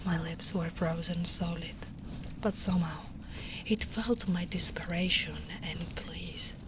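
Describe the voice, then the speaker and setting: A woman voicing a gentle, protective big female ogre in a tender, soft-spoken ASMR roleplay, often slipping into whispers. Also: fairly low pitch, noisy background, natural voice.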